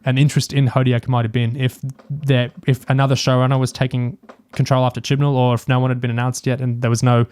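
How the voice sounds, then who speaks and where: A man's voice: medium pitch, level tone, quick pace, a little mellow, clean tone. A man co-hosting a podcast in casual discussion, tentative and musing as he thinks aloud. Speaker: podcast co-host.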